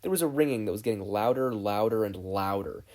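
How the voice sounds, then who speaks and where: A narrator reading a fan fiction story aloud in an expressive, scripted style. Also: medium pitch, a little fast. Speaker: podfic narrator.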